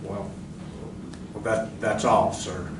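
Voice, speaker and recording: A man's voice: lowish voice, level tone, takes it slowly. A man acting a dutiful army colonel giving formal reports in a live radio drama. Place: library community room, live performance. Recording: echoey community room, distant, noisy.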